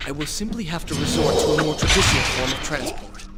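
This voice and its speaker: An actor playing a determined young prince in a video game, intense, earnest and dramatic, with loud music over the voice. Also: male voice, on the high side, animated, fast talker, heavy background noise.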